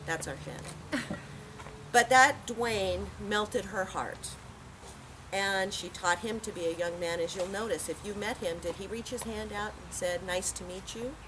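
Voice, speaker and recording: A woman's voice: medium pitch, a little slow. A woman giving a heartfelt, plain-spoken eulogy to a gathering outdoors, telling stories as she goes. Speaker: eulogy speaker at a memorial. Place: outdoor park memorial gathering. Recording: noisy outdoor park ambience.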